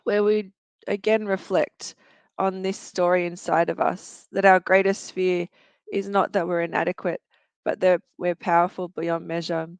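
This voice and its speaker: Aussie woman, 40ish, thoughtful, talks her story through slow and sincere, pretty clear voice, low side. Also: flat delivery, dry recording. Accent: Australian. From Australia. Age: 40s. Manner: earnest and reflective, with some fillers. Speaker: guest, youth worker and researcher. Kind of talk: personal storytelling in a talk show.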